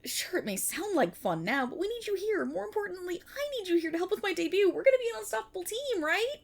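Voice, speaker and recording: A woman playing an excitable, earnest young banshee idol-in-training, chatty and teasing, in an ASMR-style roleplay aimed at the listener. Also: very high voice, fast, gravelly, full character voice. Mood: alarmed, then determined.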